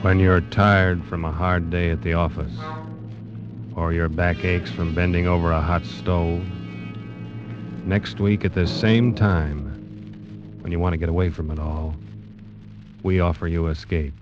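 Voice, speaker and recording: A man reading a radio promo in a dramatic, deliberate 1940s style. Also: low voice, fairly even intonation. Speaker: radio announcer. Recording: dull vintage radio recording, music behind. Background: dramatic music.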